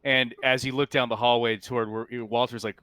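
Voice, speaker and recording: A man improvising narration as game master of a tabletop game over group voice chat, halting and often restarting his phrases. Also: mid-range voice, flat delivery, a bit bright, clean tone, no room sound.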